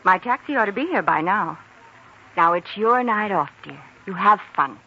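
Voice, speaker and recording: A woman's voice: mid-range voice, lively intonation, normal speed, pushed and bright, breathy edge, lo-fi sound, exaggerated voice. An actress playing an affectionate, considerate young woman engaged to an intern in a 1940s radio drama. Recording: thin, noisy 1940s radio recording.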